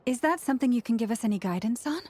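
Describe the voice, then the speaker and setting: A woman's voice: fairly high pitch, animated, very fast, a little mellow, slight background noise, close to an everyday voice. A woman playing a polite, curious young female traveler in an anime game.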